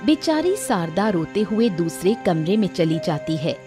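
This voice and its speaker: A woman's voice: fairly low pitch, very animated, unhurried. A woman narrating a scripted moral story. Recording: music behind the voice.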